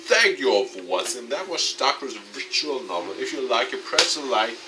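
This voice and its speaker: A man reading a visual novel game aloud with commentary for his video, in a halting, stumbling delivery. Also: mid-range voice, sing-song, distant mic. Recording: off-mic, music behind.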